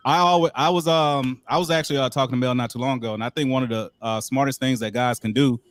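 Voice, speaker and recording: A man's voice: medium pitch, fairly even intonation, fast, dry recording. A young man hosting a live show, giving blunt, profane and joking critiques. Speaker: show host.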